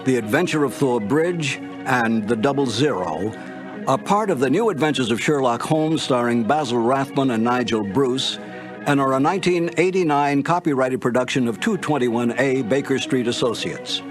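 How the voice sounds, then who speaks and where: A man giving a measured, formal closing narration for a cassette reissue of a radio series. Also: medium pitch, animated. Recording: narration over clear music bed.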